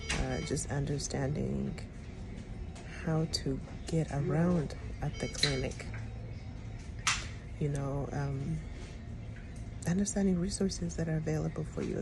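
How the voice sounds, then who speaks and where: Earnest woman with a gravelly edge. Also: sits low, low-quality recording. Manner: earnest, reflective, with frequent ums. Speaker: public health educator. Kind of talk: personal vlog talk. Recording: music playing underneath.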